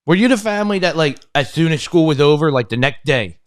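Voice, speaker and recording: A man's voice: on the high side, animated, fast talker, clean tone, dry recording. A man co-hosting a casual podcast chat, mostly giving short agreeing replies and dry quips. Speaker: podcast co-host.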